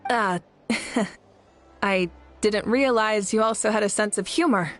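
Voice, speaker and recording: A woman playing a composed, courteous national leader in an anime game, speaking in a measured, polite and slightly formal way. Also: mid-range voice, fairly bright tone, soft background music, lightly acted. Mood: awkward.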